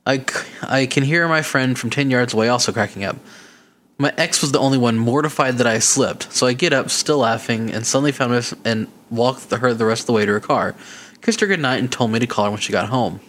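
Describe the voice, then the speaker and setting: 男性のポッドキャスト司会者、軽い雑談調. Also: male voice, mid-range voice, a little fast, fairly bright tone. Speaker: podcast co-host. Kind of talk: casual chat and reading listener emails.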